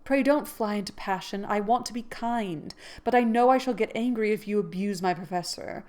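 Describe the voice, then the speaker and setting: A woman reads a spirited young heroine in a storybook, her voice raised, higher and emphatic. She speaks with frank, earnest conviction. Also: normal speed, darkish tone, natural voice.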